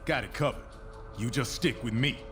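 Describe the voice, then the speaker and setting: A man's voice: mid-range voice, normal speed, natural voice. Man as a blunt, streetwise tough superhero. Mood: resolute. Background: music.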